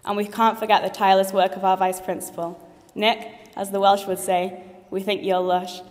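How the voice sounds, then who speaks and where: A woman's voice: mid-range voice, little pitch movement, moderate pace, background noise. Earnest teenage girl giving a speech in a hall. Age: late teens. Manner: earnest and heartfelt, with light jokes. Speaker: graduating student speaker. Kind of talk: formal graduation speech. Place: large assembly hall. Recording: long reverb of a large hall.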